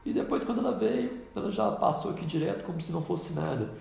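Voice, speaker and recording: A man with a cold talks through a chart in a casual, pointing way. His voice sits a touch high and very gravelly. The sound comes back strongly off the walls of an echoey room. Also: expressive, fast talker, darkish tone. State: congested with a cold. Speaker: trading instructor. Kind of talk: screen-recorded trading lesson.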